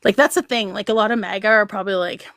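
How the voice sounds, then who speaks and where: A woman's voice: mid-range voice, quick pace, fairly bright tone, no room sound, studio quality. A woman hosting a casual livestream, rambling, laughing a lot and reading out viewers' comments. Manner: giggly, rambling, reads chat aloud. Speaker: livestream host.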